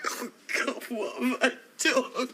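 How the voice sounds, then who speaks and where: A woman's voice: fairly low pitch, big pitch swings, measured pace, fairly bright tone, slight background noise. An actress playing a distraught middle-aged wife and mother in a TV soap, with a Northern English accent, guilty and defensive in an emphatic way. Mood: anguished. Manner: emphatic, anguished delivery. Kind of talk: naturalistic television soap drama acting.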